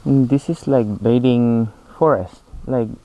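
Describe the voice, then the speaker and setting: A man with a Korean accent, a native Korean speaker, co-hosting a travel vlog in short, halting English phrases. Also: mid-range voice, fairly even intonation, dark tone, lo-fi sound. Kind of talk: casual chat on camera. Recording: noisy outdoor location sound.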